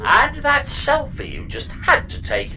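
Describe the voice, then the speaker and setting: A woman's voice: sits high, lively intonation, measured pace, bright, forceful tone, a little hiss or hum, exaggerated voice. A woman playing a chatty, flippant and reckless spaceship pod computer in a comedy audio drama, talking in a breezy, mock-grand theatrical way. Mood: breezy, wistful.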